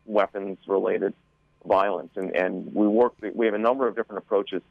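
A man answering as an official guest in an interview, measured and halting, with frequent hesitations. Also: fairly low pitch, fairly even intonation, gravelly, no room sound, lo-fi sound. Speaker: school board member guest.

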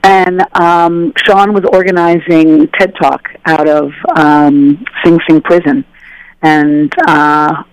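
A woman telling stories as an interview guest, animated and conversational, acting out the voices of people she quotes. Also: low-pitched, takes it slowly, no echo, rough recording. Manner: animated, acts out dialogue in voices. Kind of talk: casual conversational storytelling.

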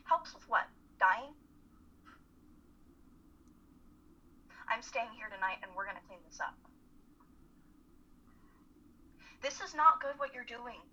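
A woman's voice: fairly high pitch, lively intonation, brisk, pushed and bright. A young woman playing a caring, worried and insistent college student in a dramatic play reading. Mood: alarmed, firm. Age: young adult. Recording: muffled, telephone-like remote call audio.

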